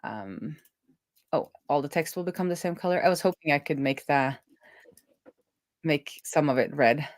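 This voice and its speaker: A woman hosting a casual coding stream as a web developer, talking informally and thinking out loud as she works. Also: low-pitched, animated, moderate pace, pauses often, dry recording, clean studio sound.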